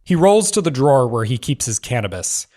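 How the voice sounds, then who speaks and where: A man narrating screenplay stage directions in measured, clipped phrases. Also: mid-range voice, big pitch swings, a little mellow.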